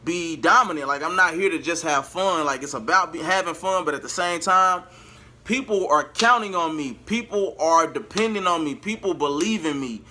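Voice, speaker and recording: Bright-voiced man telling a story. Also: fairly high pitch, moderate pace, a little hiss or hum. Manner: animated, slangy, acts out quoted dialogue. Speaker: vlogger and amateur singer. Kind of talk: casual storytelling to viewers.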